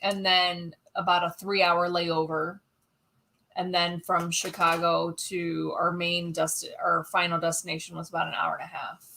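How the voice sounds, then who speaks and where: A woman co-hosting a casual livestream, recounting a trip in a relaxed way and reading viewer comments. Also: lowish voice, normal speed, creaky, rough recording. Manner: relaxed, backs up her partner, reads viewer comments. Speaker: co-host of a livestream. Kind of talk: casual storytelling chat.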